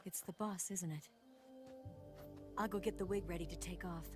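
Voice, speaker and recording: A woman's voice: lowish voice, fairly even intonation, normal speed, natural voice. An actress playing a tough, loyal young female spy in a video game, emotional and urgent. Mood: concerned. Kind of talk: dramatic video game cutscene acting. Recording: music under the dialogue.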